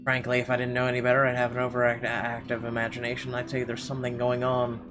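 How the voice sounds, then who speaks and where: A man's voice: mid-range voice, completely flat delivery, fast talker. A man playing a visual novel, reading its text aloud expressively with casual commentary. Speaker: let's player reading game text aloud. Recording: guitar game music behind the voice. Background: guitar game music.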